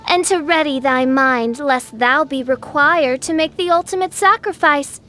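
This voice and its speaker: A woman voicing a theatrical teenage girl in a video game who styles herself a dark princess, with grandiose, archaic speech. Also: high voice, measured pace, soft background music. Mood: commanding, lofty. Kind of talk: scripted video game character acting.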